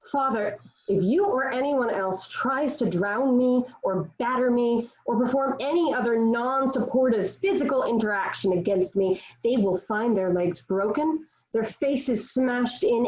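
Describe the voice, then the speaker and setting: Lady playing a stubborn devout peasant girl, highish voice, earnest old-fashioned lines, slightly muffled video call audio. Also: darkish tone. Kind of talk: dramatic table read of a period stage play. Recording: dull, lo-fi online call.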